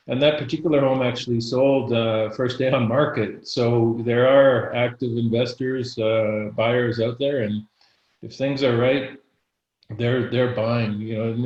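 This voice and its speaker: A hoarse man who is losing his voice gives a real estate market update as an investor agent, clearing his throat and restarting his sentences often. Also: mid-range voice, a little mellow, highs cut off. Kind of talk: market update in a live panel. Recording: roomy echo.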